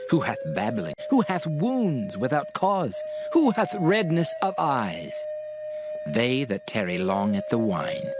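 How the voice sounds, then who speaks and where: A man giving a dramatized scripture reading, solemn, measured and emphatic in his delivery. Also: medium pitch. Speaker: scripture narrator. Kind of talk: dramatized scripted Bible reading in archaic English. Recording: music playing under the voice. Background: music.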